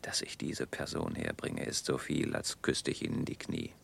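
An actor in a German radio drama playing a suave, calculating gentleman with a courteous, measured manner. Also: rumbling low, expressive, gravelly, whispered, heavily acted. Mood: urgent, courtly.

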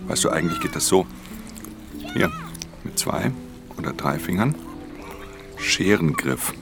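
Very deep, hushed male thief. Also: big pitch swings, unhurried, gravelly, heavily acted. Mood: calm, instructive. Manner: terse, hushed, matter-of-fact. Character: wary, world-weary male pickpocket narrating his crimes. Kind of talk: German radio play narration and dialogue. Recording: music under the voice.

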